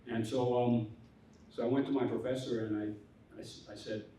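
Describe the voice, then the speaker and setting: An older man, a theologian on a conference panel, telling his story in a halting, earnest way with many restarts. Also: mid-range voice, little pitch movement, mellow. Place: conference hall. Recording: echoey hall from far off, faint noise.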